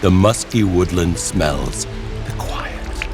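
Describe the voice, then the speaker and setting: A man narrating a scripted horror story in a dramatic, deliberate storytelling manner. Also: low-pitched. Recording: music bed under the voice. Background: music.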